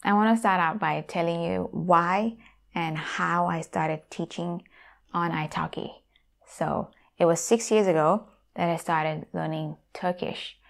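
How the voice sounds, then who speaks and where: A woman in her thirties with a Thai accent chats straight to camera from her room at home. Her voice sits low and has a gravelly, fry-tinged edge. She speaks through a clip-on mic in a friendly, direct way. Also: a little slow. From Thailand. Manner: friendly, direct address to viewers. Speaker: YouTuber and language teacher. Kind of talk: casual talk to camera. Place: home room with guitars on the wall. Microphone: lapel mic.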